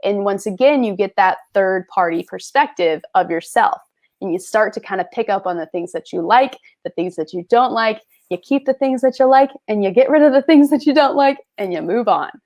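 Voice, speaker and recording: A woman's voice: medium pitch, expressive, normal speed, darkish tone. A woman talking as the guest, giving upbeat, encouraging advice straight to viewers in a casual chat. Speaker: guest creator. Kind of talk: casual advice on a video call.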